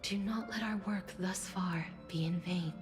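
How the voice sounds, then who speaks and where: A woman voicing a gentle young demigod of death in a video game, softly and breathily, with a measured, solemn tenderness. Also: medium pitch, lightly acted. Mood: solemn, resolute. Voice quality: soft, breathy, whispery. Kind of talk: dramatic video game voice acting. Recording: music under the voice.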